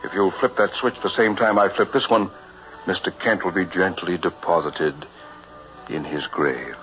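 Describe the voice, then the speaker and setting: A man's voice: sits low, normal speed, a bit bright. A man playing a showy carnival professor in 1960s radio horror, with formal, theatrical phrasing. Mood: brisk, controlled. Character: showy carnival professor, huckster of a buried-alive act. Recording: vintage dull radio recording, music behind.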